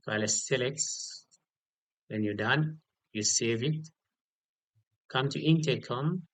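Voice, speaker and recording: Slow-paced man giving step-by-step instructions. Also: medium pitch, no echo. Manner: instructional, repeats key details and checks with "okay". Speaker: tutorial instructor. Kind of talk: step-by-step software tutorial, unscripted.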